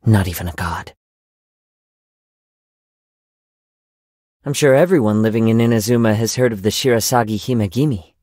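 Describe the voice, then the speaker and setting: A young man playing a gentle, poetic wandering samurai in a video game, soft-spoken and reflective, with formal, measured phrasing. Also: lowish voice, no room sound, studio quality, lightly acted. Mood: solemn, thoughtful. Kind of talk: video game character voice lines.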